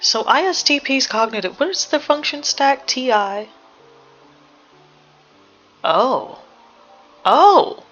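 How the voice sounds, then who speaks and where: A woman giving casual, analytical game reaction commentary, hesitant and thinking out loud. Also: high-pitched, animated, slow, pauses often, lo-fi sound, soft background music. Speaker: reaction video host.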